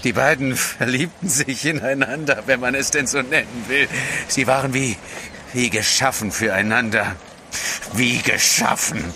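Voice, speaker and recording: An actor playing a guilt-ridden, obsessive scientist in a radio play, speaking gravely and haltingly. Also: male voice, medium pitch, close to an everyday voice. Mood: grave, remorseful. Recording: noisy ship ambience effects.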